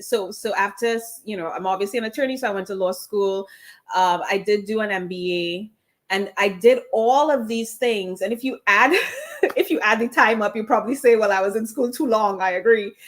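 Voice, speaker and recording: Candid woman with a slight Trinidadian accent. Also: mid-range voice. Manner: candid, restarts phrases often. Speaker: immigration attorney. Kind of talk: conversational interview answers.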